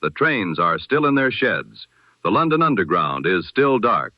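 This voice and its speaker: Male newsreader, low voice, lively emphatic delivery, vintage radio broadcast style, muffled lo-fi sound. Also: dry recording. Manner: measured, emphatic delivery with dramatic pauses. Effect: old radio sound. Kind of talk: old-time radio news broadcast. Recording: dull lo-fi old radio sound.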